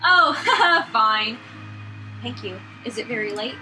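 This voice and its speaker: An earnest, eager young painter from a visual novel voiced by a woman. The voice sits fairly high, over background music that is clearly heard. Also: lively intonation, slow, sharp tone, heavily acted, low-quality recording. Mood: polite.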